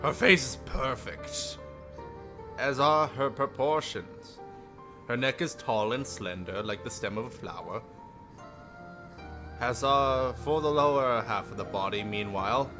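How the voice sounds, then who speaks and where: A man's voice: mid-range voice, a little slow, long pauses. A man livestreaming a visual novel, reading aloud theatrically and breaking off for playful, jokey asides. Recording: music behind the voice. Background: faint music.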